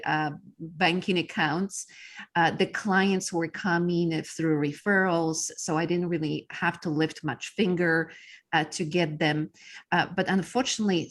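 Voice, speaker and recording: A middle-aged woman from Poland with a Polish accent, a guest telling her story in a casual interview with many ums and uhs. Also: deep voice. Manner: frequent fillers, earnest storytelling. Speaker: podcast guest, business coach.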